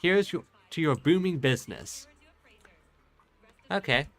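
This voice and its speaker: Bright-voiced man playing a game. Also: fairly high pitch, expressive, a little slow. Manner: rambling, hesitant thinking aloud. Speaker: game streamer. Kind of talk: casual let's play commentary, reading dialogue aloud.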